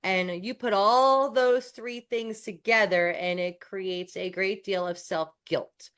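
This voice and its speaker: A woman's voice: lowish voice, fairly bright tone. A woman from New York co-hosting a coaching podcast, talking in a candid, self-deprecating way with frequent restarts. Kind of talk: casual podcast chat.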